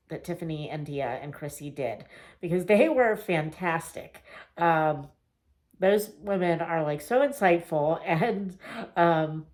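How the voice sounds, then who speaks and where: A woman vlogging a casual reading wrap-up, chatty and rambling with fillers and small laughs, her voice getting a little raspy. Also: lowish voice. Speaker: book vlogger.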